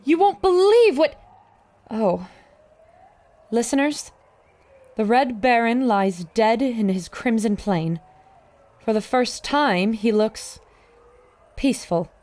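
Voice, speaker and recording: A woman's voice: on the high side, expressive, takes it slowly, pauses often, darkish tone. A woman playing a plucky, ambitious radio reporter in an old-time adventure serial, with dramatic, declarative delivery. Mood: dramatic, awed.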